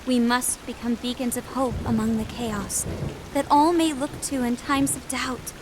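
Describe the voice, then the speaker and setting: An actress playing a noble young princess in a game, speaking formally and earnestly with a dignified, dutiful manner. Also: female voice, fairly high pitch, steady tone, noisy background, close to an everyday voice. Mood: solemn. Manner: formal, regal, measured delivery. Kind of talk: earnest dramatic game acting.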